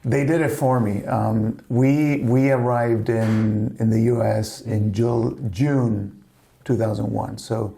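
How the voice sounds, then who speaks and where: A man's voice: fairly low pitch, fairly even intonation, slow pace, gravelly, warm tone. A middle-aged man from Spain with a slight Spanish accent, telling family stories in a rambling casual chat full of "you know". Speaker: family podcast guest.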